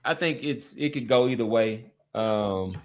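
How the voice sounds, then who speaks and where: A man with a medium-pitched voice co-hosts a sports chat. He speaks informally and a little haltingly, steering the talk with short questions. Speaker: sports podcast co-host.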